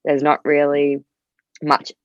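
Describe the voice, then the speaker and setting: A woman's voice: low voice, monotone, slow pace. A woman chatting casually as a podcast guest, peppering her loose sentences with "like". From Australia. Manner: loose, heavy use of "like". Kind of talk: casual chat. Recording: roomy, slightly dull sound.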